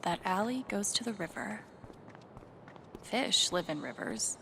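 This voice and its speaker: Young game heroine, gentle and a bit wry, medium pitch, faint ambience behind. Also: female voice, animated, measured pace, vocal fry, slight background noise, exaggerated voice. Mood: thoughtful. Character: young woman, wry, gentle, playing along with fantasy quest.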